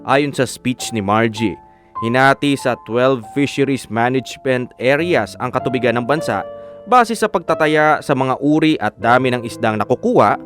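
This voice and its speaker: A man's voice: mid-range voice, a bit bright, lightly acted. Casting: male actor as admiring man telling his own story for radio drama, guitar underneath. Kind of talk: scripted first-person radio drama narration. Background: plucked guitar music.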